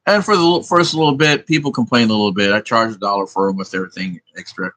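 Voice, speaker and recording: A man's voice: lowish voice, fast talker, a bit bright. A man rambling in a profane, meandering monologue, thinking aloud as he works through sums. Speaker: vlogger.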